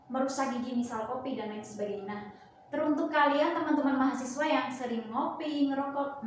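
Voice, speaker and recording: A young woman hosting a casual video interview, chatting informally to viewers and putting questions to her guest. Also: on the high side. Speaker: host of a YouTube channel, interviewer. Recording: echoey room, distant mic, faint noise.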